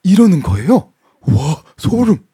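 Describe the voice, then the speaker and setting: A man's voice: on the high side, takes it slowly, slightly rough. A man with a Gyeongsang Korean accent, reading listener stories on a radio show and laughing often as he chats. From Gyeongsang region, Korea. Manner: animated storytelling, laughs often. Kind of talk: casual radio chat.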